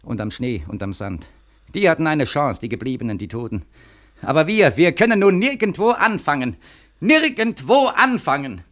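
Plaintive, high-pitched young war returnee. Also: male voice, sing-song, normal speed, a bit bright. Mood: desperate, accusing. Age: young adult. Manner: plaintive, insistent, with repeated phrases. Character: bitter, desperate 25-year-old German war returnee. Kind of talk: 1940s German radio drama acting. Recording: 1940s radio recording.

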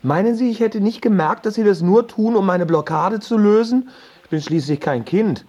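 An actor in a radio drama playing a neurotic, easily embarrassed and touchy man, speaking in a husky, defensive and hesitant way. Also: sits high, moderate pace, a little mellow, highs cut off. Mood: indignant. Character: neurotic, embarrassed, touchy male tax accountant. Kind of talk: German radio drama dialogue.

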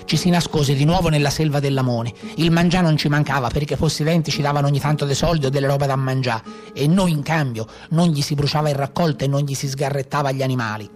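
A man with a Tuscan accent playing a rough peasant brigand of the Maremma in a theatrical storytelling monologue. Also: a little high, steady tone, quick pace, natural voice. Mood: matter-of-fact. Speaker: stage actor. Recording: live guitar music behind.

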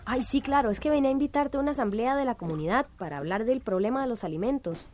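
Chatty higher-pitched actress as a village woman. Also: fast talker, a little mellow, slight background noise, natural voice. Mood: friendly. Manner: chatty, encouraging. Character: friendly, curious village community organiser. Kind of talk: radio drama acting.

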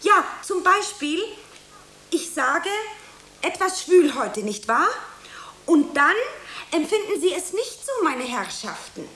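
A woman playing an eager, anxious young beginner actress in a radio play, earnest and theatrical, with dramatic pauses. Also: sits high, big pitch swings, bright, forceful tone, exaggerated voice. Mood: nervous, pleading. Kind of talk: German radio play acting. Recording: roomy, faint hiss.

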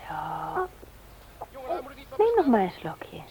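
An actress playing a caring, gently nagging wife and mother in a Dutch radio drama, coaxing and firm. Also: very high-pitched, wildly swooping pitch, very slow, gravelly, crowd noise, exaggerated voice, low-quality recording. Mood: soothing. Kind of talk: Dutch radio play acting, domestic scene.

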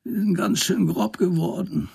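An actor playing a frail old man who slowly recalls the past in a radio drama, his voice gravelly and husky. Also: high voice, fairly even intonation, a little mellow, full character voice. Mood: frail, remembering. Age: older.

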